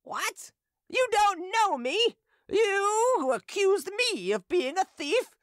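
A reader voicing a drunken, staggering female street hawker who shouts and jeers. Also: sing-song, slow pace, dry recording, clean studio sound, full character voice. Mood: jeering. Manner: shrill, jeering and shouting.

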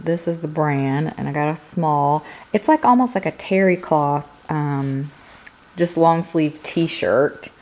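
A woman vlogger chatting casually about her groceries at her kitchen counter, slightly hoarse from a cold, rambling with many ums. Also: low voice, a little mellow. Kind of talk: casual grocery haul chat. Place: home kitchen, indoors.

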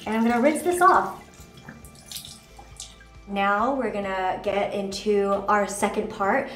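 A young woman in her twenties, chatting casually through a skincare routine in a bathroom, bubbly and chatty. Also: mid-range voice. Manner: bubbly, chatty, lots of "like" and "just". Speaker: vlogger. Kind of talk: casual skincare tutorial talk. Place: hotel bathroom at the mirror. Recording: echoey bathroom, faint music.

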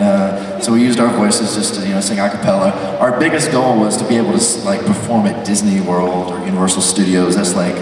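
A young man from Mississippi with a Southern accent, giving a casual, joking storytelling talk as a guest speaker, full of fillers. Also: fairly low pitch. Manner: chatty, joking, many fillers. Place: large lecture hall with audience. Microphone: handheld mic, filmed from far in the crowd. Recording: echoey hall taped from far off. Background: audience murmur and laughter.

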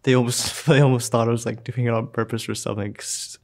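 A young man telling his own story in a short film, soft-spoken and halting, with pauses and fillers. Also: mid-range voice, level tone. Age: young adult. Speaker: student filmmaker narrating his own story. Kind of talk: personal narration for a short film.

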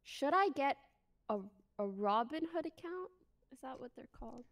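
A woman's voice: high voice, animated, moderate pace, gravelly. A young woman streaming from her bedroom on a headset, chatting casually and asking curious questions. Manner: curious, asks questions, laughs often. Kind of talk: casual chat on a livestream. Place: bedroom, indoors.

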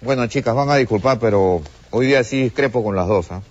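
A man's voice: mid-range voice, fairly quick, pitched below the performer's own voice, natural voice. A man putting on a pompous, opinionated male panelist on a gossip show. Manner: self-important, opinionated. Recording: dull lo-fi recording.

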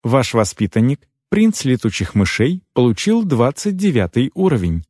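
A man voicing an impersonal game system that makes formal, measured announcements in a fantasy audiobook. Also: mid-range voice, very animated, a little mellow, husky, no room sound, clean studio sound. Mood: neutral, formal.

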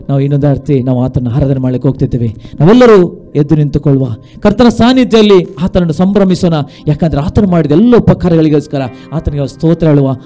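A man's voice: high-pitched, dark tone. A middle-aged man from India preaching and praying as a pastor in a fervent, exhorting way, building through repeated phrases into a handheld microphone. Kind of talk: sermon and prayer in a church service. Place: dark studio stage with coloured lighting. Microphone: handheld microphone held at chest to mouth. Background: music.

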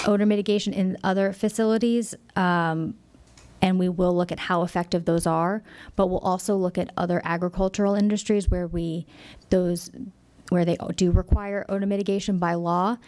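Low-voiced woman at a council desk mic. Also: steady tone, darkish tone. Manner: measured, apologetic, many fillers. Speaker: company representative. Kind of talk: public meeting presentation. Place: town council chamber. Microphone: desk microphone.

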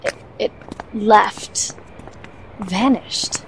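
A woman playing a composed, wry assassin, explaining things in a measured way in an audio drama. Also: medium pitch, slight background noise, low-quality recording.

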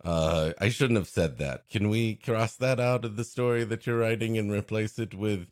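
A man's voice: fairly low pitch, moderate pace, darkish tone, no echo, studio quality. A man co-hosting a comedy podcast, improvising jokey, mock-serious asides in casual chat.

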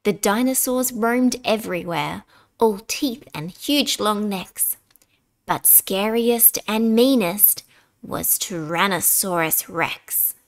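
A woman reading a children's picture book aloud in an expressive, deliberate way, dramatic on key words. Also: mid-range voice. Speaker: storybook reader.

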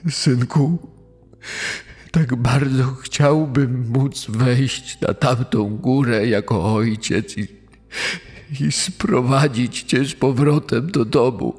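A man playing a heartbroken father haltingly giving his son's funeral eulogy in a podcast dramatization, gravelly and husky. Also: medium pitch, fairly even intonation, a little mellow. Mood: grieving, pleading. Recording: long hall reverb, music underneath.

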